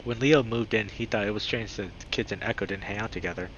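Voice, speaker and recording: A man reading a visual novel aloud as a streamer, with expressive reactions. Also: fairly low pitch, quick pace. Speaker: video game streamer. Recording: dull lo-fi mic with clear background noise.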